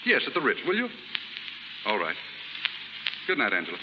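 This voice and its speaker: An actor playing a kindly, well-off novelist, polite and gently amused, in a 1930s radio drama. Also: male voice, medium pitch, wildly swooping pitch, moderate pace. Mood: apologetic. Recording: muffled, very noisy, reverberant 1930s radio recording.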